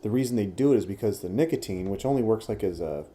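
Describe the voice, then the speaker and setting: A man co-hosting a laid-back podcast, with a very deep voice and a thoroughly dark, mellow tone. He rambles easygoingly and swears casually. Faint noise hums behind him. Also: expressive, fast talker. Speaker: podcast co-host. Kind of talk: casual chat.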